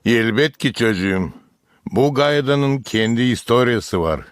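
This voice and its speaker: A kindly old grandfather from a cartoon village, acted by a man. He talks like an encouraging storyteller at a medium pitch, recorded dry. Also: animated, unhurried, smooth, no room sound, close to an everyday voice. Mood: reminiscing. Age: older. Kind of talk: cartoon character acting.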